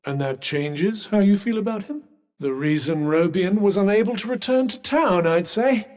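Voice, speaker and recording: A man's voice: high voice, expressive, normal speed, darkish tone. A man with a British accent voicing a haughty, erudite magical cuff in a fantasy video game, with polished diction and dry sarcasm. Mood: dry, needling. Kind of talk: scripted game companion dialogue.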